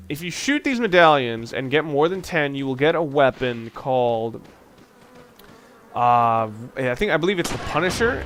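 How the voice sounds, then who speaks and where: A man giving casual, halting gameplay commentary as a let's play host, rambling and thinking aloud as he plays. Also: on the high side, fairly bright tone, slight background noise.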